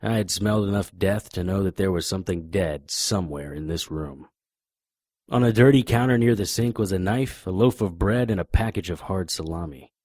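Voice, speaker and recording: An actor playing a deadpan, hardboiled private investigator, narrating and speaking in a noir audio drama. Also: male voice, low-pitched, normal speed, no echo, studio quality. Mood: deadpan, tense. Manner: deadpan, wisecracking.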